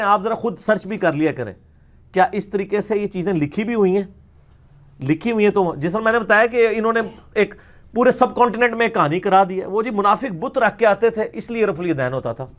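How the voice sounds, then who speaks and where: A man with a Pakistani Punjabi accent giving an informal religious lecture, arguing in colloquial language with rhetorical questions and some English words mixed in. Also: high voice.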